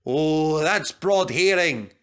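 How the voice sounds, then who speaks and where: A man's voice: on the high side, expressive, takes it slowly, a bit bright, clean tone. A man voicing a grumbling, fierce-hearted steam engine in a children's story, blunt and emphatic. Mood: admiring.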